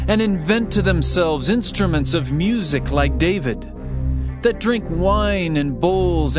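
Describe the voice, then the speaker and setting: A man narrating a dramatized Bible reading in a solemn, declamatory manner. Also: high voice. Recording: music under the voice. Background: background music.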